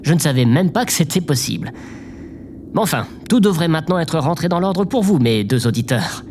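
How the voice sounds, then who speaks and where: A man's voice: fairly high pitch, lively intonation, brisk, a little mellow, slight background noise, lightly acted. A man playing an earnest, self-effacing podcaster with a chatty, theatrical delivery in an audio drama. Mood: upbeat, apologetic. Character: earnest, insecure, lovelorn amateur podcaster.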